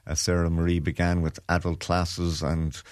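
A deep, low-pitched man presents a business slot on local radio. He delivers event details steadily, with frequent small pauses. The sound is dry and close to the mic. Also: flat delivery, darkish tone, clean tone, dry recording. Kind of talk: radio chat, reading news items. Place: radio studio.

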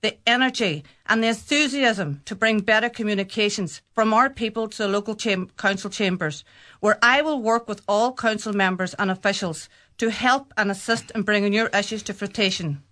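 A woman with a Donegal Irish accent, a local election candidate giving her campaign pitch and answering on a panel in an earnest, emphatic way. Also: moderate pace, fairly bright tone. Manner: earnest, emphatic, repeats phrases when answering.

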